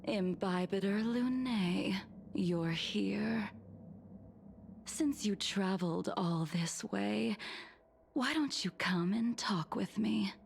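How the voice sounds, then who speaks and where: A woman voicing a serene ancient swordswoman in a video game, calm and breathy, speaking with deliberate care. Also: mid-range voice, slight background noise. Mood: coolly inviting. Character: serene ancient swordswoman, fallen legend turned criminal. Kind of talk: English video game voice acting. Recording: game audio with music behind.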